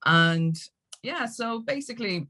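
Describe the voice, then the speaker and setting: A woman's voice: on the high side, animated, measured pace, pushed and bright. An Irish woman telling her story as a podcast guest in a chatty, informal way. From Ireland. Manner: chatty and informal, with mild swearing. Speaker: podcast guest, music consultant and campaigner. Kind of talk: casual chat, personal storytelling.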